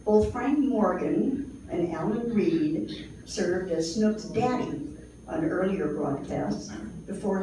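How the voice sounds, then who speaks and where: A woman's voice: medium pitch, dark tone. A woman announcer reading a scripted introduction for a radio comedy show. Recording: very echoey, distant, faintly noisy room.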